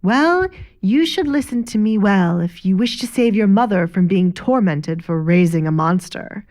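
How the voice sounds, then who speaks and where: A woman's voice: mid-range voice, darkish tone, lightly acted. Woman acting a sly, haughty, menacing baroness. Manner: menacing, mock-wounded, haughty. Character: sly, cruel, scheming baroness, a married mother. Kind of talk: dramatic audio drama acting.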